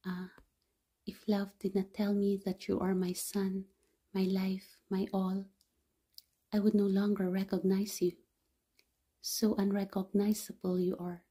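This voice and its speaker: Brief: woman reading a mourning mother, slow, breathy, half-whispered. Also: medium pitch, little pitch movement. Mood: sorrowful. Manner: soft half-whispered lament. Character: grieving mother mourning her dead son.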